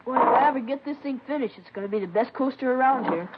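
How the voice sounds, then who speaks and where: A woman's voice: high-pitched, fast, heavy background noise, natural voice. A woman playing an evasive boy impostor. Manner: offhand, chatty, evasive. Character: runaway boy passing as another, evasive. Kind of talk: 1950s radio drama acting. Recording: dull vintage radio recording.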